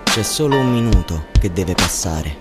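An actor playing a musing young male student in a radio drama, speaking in terse, halting, poetic fragments. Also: deep voice, animated. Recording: loud music over the voice. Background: loud instrumental music.

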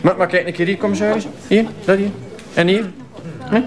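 A man's voice: high voice, steady tone, a little fast, crowd noise, close to an everyday voice. A man playing a hesitant Flemish doctor. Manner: hesitant, hedging. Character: hesitant male doctor at a crime scene.

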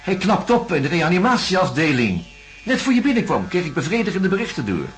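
An actor playing an insistent, placating psychiatrist in a radio drama, reasoning and coaxing in speech. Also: male voice, high voice, big pitch swings, a little fast, soft background music. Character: male psychiatrist, placating and insistent, defending his methods.

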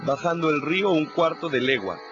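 A man's voice: on the high side, expressive, a little fast, lightly acted. An actor playing a blunt, matter-of-fact man, part of a radio drama. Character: plain-spoken man, a slave catcher's hand, blunt. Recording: muffled radio audio with music.

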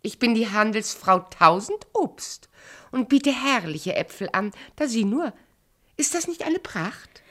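A woman's voice: a little high, lively intonation, fairly bright tone. Sly, wildly swooping evil queen. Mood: mock indignant. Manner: theatrical, drawn-out, wheedling, falsely caring. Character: sly evil queen posing as fruit seller. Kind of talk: fairy-tale radio play acting.

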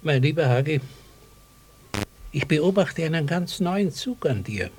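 An actor playing an elderly village schoolmaster in a radio drama, speaking in a wordy, oratorical and dryly ironic way. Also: male voice, on the high side, animated, darkish tone. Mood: ironic, lofty. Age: older. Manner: measured, oratorical, dryly sardonic. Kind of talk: German radio drama acting.

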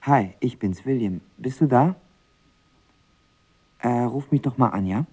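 A man's voice: medium pitch, a little slow, mellow, slightly rough. An actor playing a lovesick, volatile young man in an audio drama, talking in a colloquial, sarcastic, self-deprecating way. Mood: pleading, dejected. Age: young adult. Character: lovesick, volatile young male actor narrating his first heartbreak. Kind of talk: audio drama acting with first-person narration.

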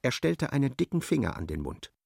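A man's voice: medium pitch, big pitch swings, a little fast, gravelly, darkish tone, husky, no echo, clean studio sound, exaggerated voice. A man narrating a literary radio play in a precise, wry, dramatic storytelling manner.

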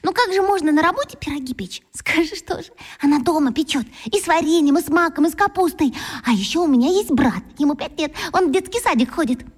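A woman's voice: high voice, lively intonation, quick pace. An actress voicing a chatty, eager new girl of about eleven who talks nonstop, in a radio play.

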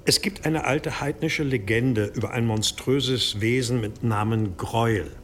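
An actor playing an obsequious, scheming medieval monastery exorcist in an audio drama, deferential, hesitant and persuasive. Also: male voice, mid-range voice, measured pace, a bit bright, slight background noise, lightly acted. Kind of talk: German audio drama acting.

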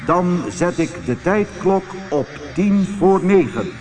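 A man voicing an alien spaceship captain in a storybook, with slow, deliberate, drawn-out speech and an easily alarmed temper. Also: high-pitched, animated, pitched above the performer's own voice. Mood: uneasy.

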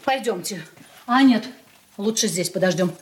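A proud, discontented grown daughter played by a woman in a Soviet radio drama. Her voice is of middle pitch, knowing and a little aggrieved, as she instructs and prods. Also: expressive, moderate pace, natural voice. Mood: uneasy. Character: discontented, proud married daughter, advising and prodding. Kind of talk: Soviet radio play acting.

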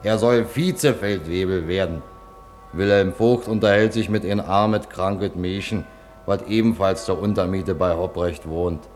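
Male announcer, lowish voice, scripted radio play narration with faint music underneath. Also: measured pace, a little mellow.

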